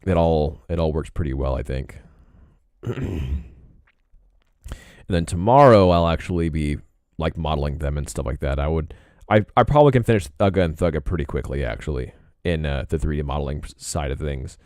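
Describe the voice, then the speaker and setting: A young man streaming casually while he draws, rambling in a laid-back way and often clearing his throat. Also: rumbling low, lively intonation, normal speed, darkish tone, no room sound, studio quality. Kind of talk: casual live-stream chat while drawing.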